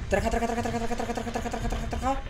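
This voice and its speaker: A young man, giving casual let's play commentary in an excitable way, talking to himself and repeating words rapidly. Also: extremely high pitch, music in the background. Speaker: gaming YouTuber. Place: small room at home, indoors. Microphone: headset on, desktop USB condenser microphone. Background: horror game soundtrack and effects.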